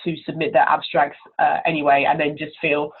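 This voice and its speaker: A woman's voice: low-pitched, flat delivery, a little fast, slightly rough. A woman giving informal, encouraging interview answers as a conference chair over the telephone. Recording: narrow, tinny telephone line.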